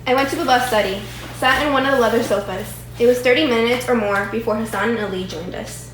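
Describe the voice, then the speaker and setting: A woman's voice: on the high side, fast talker, a bit bright. A woman reading scripted dramatic narration for readers' theatre. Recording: distant, echoey, faintly noisy room.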